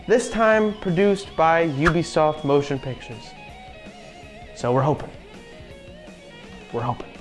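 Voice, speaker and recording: A man's voice: a little high, animated, takes it slowly, pauses often. A man co-hosting a semi-scripted video review in a sardonic way, as commentary. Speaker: co-host. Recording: music behind the voice.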